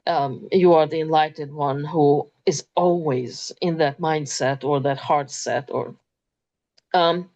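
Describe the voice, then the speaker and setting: A woman, hosting a talk in a thoughtful way, pausing with many ums. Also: low-pitched, steady tone, darkish tone, muffled recording. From Transylvania, Romania. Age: 50s. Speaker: interview host. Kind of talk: moderated talk.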